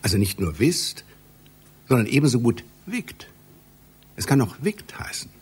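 An actor in a radio play playing an obsessive literary scholar, pedantic, insistent and excitable, with a rough, husky voice. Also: male voice, medium pitch, measured pace, heavily acted. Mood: insistent, intrigued. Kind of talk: German radio play acting.

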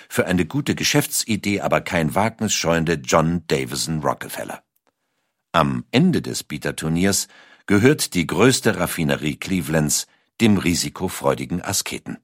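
A man's voice: low-pitched, no room sound, studio quality. A man reads scripted documentary narration as an audiobook narrator.

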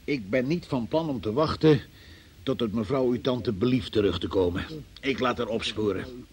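An actor playing a stern, impatient investigating magistrate in a Dutch radio play, probing and sceptical. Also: male voice, medium pitch, normal speed, darkish tone, close to an everyday voice. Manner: probing, sceptical, officious, exasperated.

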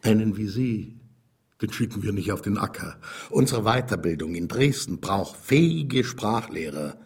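An actor playing a self-assured East German lieutenant colonel in a radio drama, jovial, authoritative and condescending. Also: male voice, lowish voice, animated, moderate pace, breathy edge. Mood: pompously confident.

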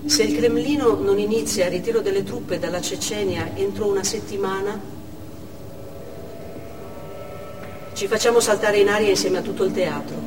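Actress playing a shaken female witness, theatrical storytelling, deliberate pauses, far-off echoing hall, background noise. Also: mid-range voice, lightly acted. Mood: grim, wry. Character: shaken woman survivor recounting a theatre hostage siege. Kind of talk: theatrical monologue, first-person narration on stage. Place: theatre stage, distant microphone.

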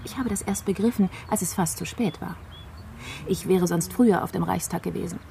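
Creaky-voiced female investigator, measured. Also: on the low side, dark tone, a little hiss or hum. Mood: measured, certain. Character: methodical female investigator explaining how a murder case unfolded. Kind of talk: radio play acting.

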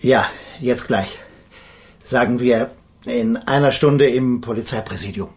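An actor playing an older, stern and bitter idealist lawyer in a radio crime drama, speaking solemnly and deliberately. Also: male voice, medium pitch, a little mellow, close to an everyday voice. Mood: subdued, resolved.

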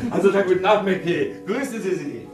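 An actor playing a gruff, opinionated neighbour and husband in a radio crime drama, talking bluntly and emphatically. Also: male voice, high-pitched, animated, a little fast, over music. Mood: opinionated, hearty. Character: gruff, hard-of-hearing husband and opinionated football fan.

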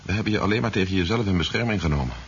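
An actor playing a brisk, fatherly army general in a Dutch science fiction radio drama, authoritative and measured. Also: low-pitched, expressive. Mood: calm, reassuring. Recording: dull, noisy 1970s radio recording.

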